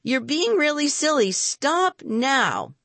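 An exasperated young boy from a children's story, acted out by a woman, urgent and emphatic. The voice sits higher and swings about in pitch, with anxious insistence. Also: very animated, a little slow, fairly bright tone, husky, dry recording, heavily acted. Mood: frantic, exasperated. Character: anxious, exasperated young boy telling his magical mishap. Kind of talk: children's audiobook character voice.

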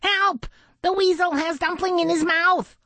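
A woman's voice: very high voice, fairly even intonation, measured pace, pushed and bright, dry recording, pitched above the performer's own voice, heavily acted. A woman voicing small frightened ducklings in a children's story. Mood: terrified. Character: tiny frightened ducklings crying for mother.

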